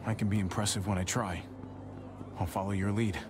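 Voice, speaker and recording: A man's voice: fairly low pitch, steady tone, a little fast, noisy background, natural voice. An actor playing an easygoing, wry younger brother loyal to his family, courteous and understated, in a cinematic video game.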